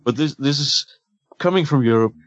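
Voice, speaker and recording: A man's voice: mid-range voice, normal speed, highs cut off. A man from Denmark with a Danish accent, chatting carefully on a podcast, often repeating words as he searches for them. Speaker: podcast guest. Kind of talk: casual chat.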